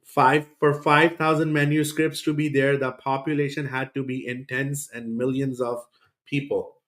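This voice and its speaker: A man's voice: mid-range voice, little pitch movement, moderate pace, a bit bright. A man hosting a reaction video, rebutting a podcast clip in a scornful, sarcastic tone. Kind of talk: reaction commentary.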